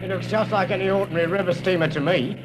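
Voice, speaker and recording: Over clear engine noise, a man plays a wisecracking British sidekick in an old radio adventure. His voice is high and chirpy, full of slang and cheek. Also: fairly even intonation, fast, close to an everyday voice. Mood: sceptical. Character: cheeky, scrappy sidekick, loyal but sceptical. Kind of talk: vintage radio thriller acting.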